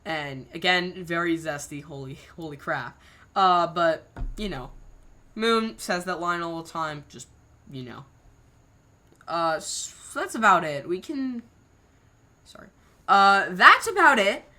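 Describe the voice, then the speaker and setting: A woman's voice: lowish voice, expressive, slow, long pauses, sharp tone. A woman hosting her channel, chatting casually through scrapped voice lines, rambling with slang exclamations. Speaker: channel host.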